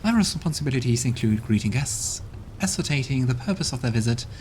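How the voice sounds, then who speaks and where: A man's voice: medium pitch, lively intonation, a little fast, a little mellow, slight background noise, close to an everyday voice. A man reading a gracious talking gate. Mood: courteous. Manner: courteous, formal greeting. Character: polite talking manor gate, gracious, formal. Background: game music.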